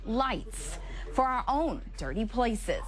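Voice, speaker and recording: A woman's voice: fairly high pitch, big pitch swings, takes it slowly. A woman delivering television news as an anchor.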